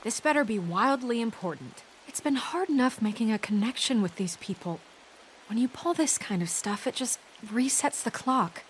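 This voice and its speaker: A woman's voice: a little high, lively intonation, moderate pace, a little hiss or hum, lightly acted. An actress playing a composed, earnest woman official who speaks firmly and diplomatically in a video game. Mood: tense, troubled.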